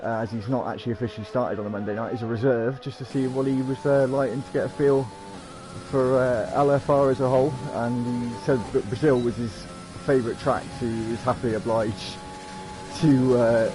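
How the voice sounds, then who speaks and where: A man in a mid-range voice hosts commentary for an online racing league. He is chatty and jokey, often pausing on "uh" while he reads out the drivers, and music plays clearly behind him. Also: medium pitch, steady tone, poor audio. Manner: chatty, lots of uh fillers, jokey. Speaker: league host and lead commentator.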